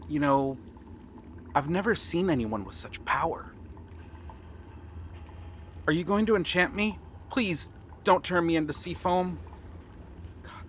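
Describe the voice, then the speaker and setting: Slow, hesitant young pirate prince played by a man. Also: a little high, a bit bright, slight background noise. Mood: apologetic, anxious. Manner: hesitant, earnest, with stammers. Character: nervous, bookish young pirate prince, dreamy and reluctant. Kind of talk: ASMR roleplay acting.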